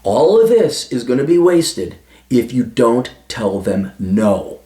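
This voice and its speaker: A man's voice: mid-range voice, very animated, takes it slowly, warm tone. A man giving crude, emphatic advice to a listener and swearing freely. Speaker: advice vlogger answering a listener letter. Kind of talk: blunt, profane advice monologue. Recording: roomy echo.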